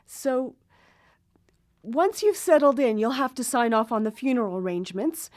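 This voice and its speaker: An actress plays an older mother in grief in a radio drama. She is stiff and reproachful, with a somewhat high, bitter voice. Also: animated, normal speed, a little mellow, close to an everyday voice. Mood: restrained.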